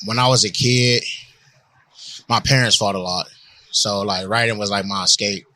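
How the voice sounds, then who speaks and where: A man rapper from Cleveland, answering easygoing and soft-spoken in a casual interview at a concert venue. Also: lowish voice, steady tone, moderate pace, no echo. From Cleveland, Ohio.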